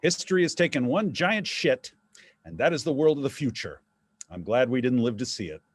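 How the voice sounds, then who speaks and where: A man's voice: medium pitch, animated, brisk, natural voice. An actor playing a mocking, crass ghost of a father in a theatrical play reading, needling and dry. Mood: scornful.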